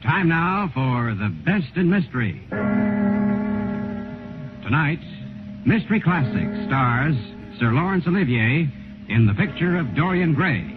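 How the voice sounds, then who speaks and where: A man's voice: a little high, lively intonation, measured pace, pauses often, narrow, tinny audio. A man announcing a 1950s radio show opening in a grand, declamatory style. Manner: dramatic, declamatory. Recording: band-limited 1950s radio recording. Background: loud music.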